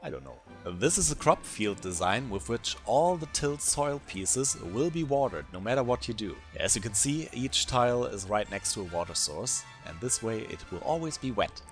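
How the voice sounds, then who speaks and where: A man's voice: mid-range voice, normal speed, hardly any pauses, faint music behind. German man narrating a game tutorial. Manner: relaxed, chatty, self-deprecating asides. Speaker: gaming YouTuber.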